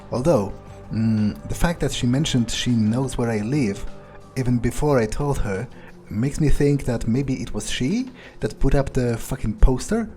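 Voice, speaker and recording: Male gamer as a sardonic vampire, dark mellow voice, halting musing monologue, faint music behind. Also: medium pitch. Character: blasé, scheming male vampire thinking aloud. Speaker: gamer role-playing a character. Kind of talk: in-character improvised game playthrough monologue.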